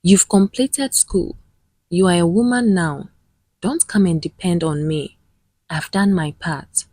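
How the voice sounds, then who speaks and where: A woman's voice: low-pitched, measured pace, a little mellow, husky, no echo, studio quality. A woman voicing a bitter middle-aged mother in a storytelling narration, curt and dismissive. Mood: cold, snapping.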